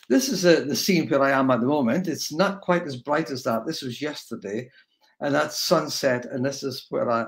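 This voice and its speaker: An older man from Scotland with a Scottish accent, giving a relaxed, rambling webinar lecture over a video call from his home. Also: mid-range voice. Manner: relaxed, rambling with fillers and restarts. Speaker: guest speaker.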